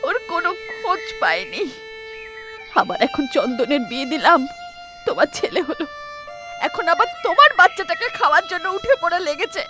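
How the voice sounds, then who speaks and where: A woman's voice: very high-pitched, expressive, brisk, music in the background. An actress voicing an older village mother-in-law who grievingly tells of a cursed idol and warns her daughter-in-law. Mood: grave. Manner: storytelling, grieving, warning.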